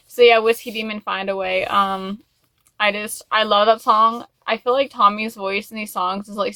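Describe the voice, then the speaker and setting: A woman talks casually to her viewers as the host of a video. Her voice is bright and forward, recorded dry with little room sound. She rambles in a gushing fan manner, peppering her talk with "like" and "you know.". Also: medium pitch, no echo. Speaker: YouTube host. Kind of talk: casual chat to camera, music album review.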